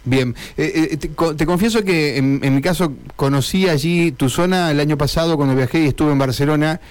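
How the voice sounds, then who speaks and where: A man's voice: a little high, fairly quick. Argentine man hosting radio. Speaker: radio host. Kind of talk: radio interview.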